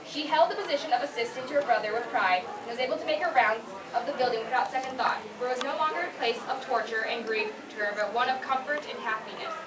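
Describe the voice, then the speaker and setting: Young woman narrating fanfic really quickly, echoey room, mic far away. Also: on the high side, noisy background. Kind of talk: scripted narration, fan fiction read aloud. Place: convention panel room.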